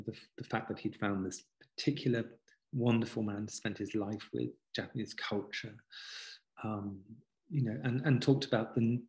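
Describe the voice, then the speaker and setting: A man in his fifties, a guest author on a video call from a book-lined home study, talking earnestly in a halting way with many restarts. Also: mid-range voice, darkish tone, rough recording. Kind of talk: reflective conversational interview. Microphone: video call webcam.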